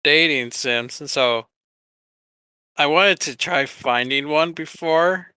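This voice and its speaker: A man co-hosting a podcast in casual chat, talking haltingly with trailing asides. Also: on the high side, bright, forceful tone, clear, resonant tone, no echo. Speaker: podcast co-host.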